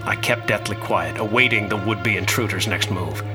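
An actor playing an anxious, bookish traveler, narrating his ordeal in a radio drama with formal, uneasy wording and a husky voice. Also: on the low side, moderate pace, music in the background, close to an everyday voice. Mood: tense, fearful. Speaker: narrator and lead character. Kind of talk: scripted first-person radio drama narration.

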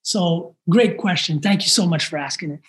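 A man's voice: fairly high pitch, moderate pace, a bit bright, slight background noise. Earnest, emotional male podcast guest. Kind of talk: casual interview talk.

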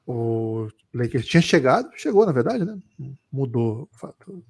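A man co-hosting a casual sports podcast, speaking in a measured way and thinking aloud with frequent pauses. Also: medium pitch, big pitch swings, a little mellow, no room sound. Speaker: podcast co-host.

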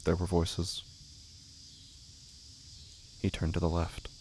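A man giving a scripted, dramatic narration of a horror story in a deliberate, intense manner. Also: low-pitched, monotone, darkish tone, a little hiss or hum. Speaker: narrator of a horror fiction podcast.

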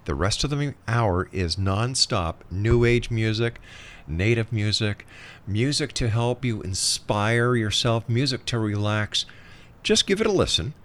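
Male talk radio host, lowish voice, conversational on-air presenting. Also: lively intonation, measured pace, a bit bright.

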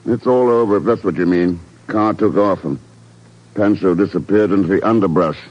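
An actor playing a cool, wry agent in charge in a 1950s radio drama, dry and unruffled, on a noisy old recording. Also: male voice, low-pitched, moderate pace, lo-fi sound, natural voice. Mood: matter-of-fact.

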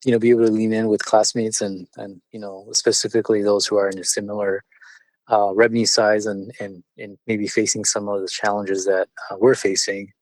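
A man whose first language is Spanish, a business owner answering interview questions in a measured way with frequent fillers and restarts. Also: fairly low pitch, flat delivery, dry recording, rough recording. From born in California, partly raised in Mexico City. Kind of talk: conversational interview.

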